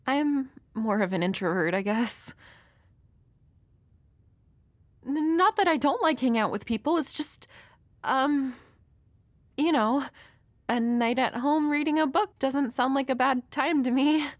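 A woman's voice: high voice, a little slow, no room sound. A woman playing a nervous, dorky young woman with a crush in an intimate roleplay audio, hesitant, stammering and apologetic. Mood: nervous, tender.

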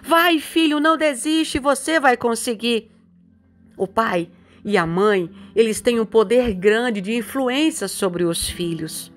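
A middle-aged woman with a Brazilian Portuguese accent, preaching slowly and earnestly in a fairly high voice. Her pleading delivery lifts on the words she gives to God, with soft music under the voice-over. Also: lively intonation. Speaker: bishop preaching a daily devotional. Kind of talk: devotional sermon over music.

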